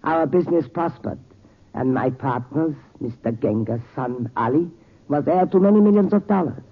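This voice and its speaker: An actor in a 1940s radio drama playing a courteous Tibetan gem importer who tells tales in formal, measured, precise speech. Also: male voice, a little high, lively intonation, breathy edge. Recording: tinny 1940s radio recording.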